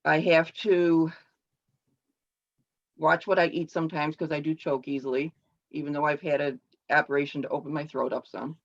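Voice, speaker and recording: A woman giving a personal speech to her club over a video call, halting and explanatory with frequent restarts. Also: low voice, little pitch movement, vocal fry. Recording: dull lo-fi call audio.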